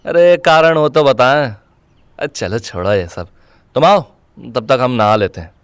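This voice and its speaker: A man's voice: mid-range voice, lively intonation, measured pace, clean tone, no room sound, studio quality, close to an everyday voice. An actor playing a brusque, irritable young man in an audio drama, curt and grumbling. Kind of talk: Hindi audio drama acting.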